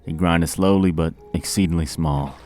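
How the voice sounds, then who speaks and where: A man's voice: sits low, fairly even intonation, moderate pace, darkish tone, soft background music, natural voice. A man voicing a smug, vain young zealot with a nasal voice.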